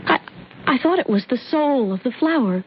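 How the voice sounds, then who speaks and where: A woman's voice: sits high, expressive, moderate pace. An actress playing a sensitive, impressionable young woman with hesitant wonder, in old-time radio drama style. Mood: hushed awe. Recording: muffled radio recording with noise.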